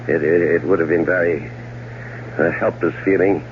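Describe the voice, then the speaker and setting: A deep-voiced, outwardly grieving widower played by a male actor in a 1970s radio drama. He speaks with measured, halting politeness, smooth but evasive and calculating. The old broadcast is muffled, with noticeable hum. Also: steady tone, close to an everyday voice. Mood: polite, flustered.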